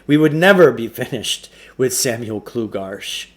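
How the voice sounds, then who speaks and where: A man's voice: mid-range voice, expressive, a little slow, a bit bright. A man reading a children's novel aloud as a storybook narrator.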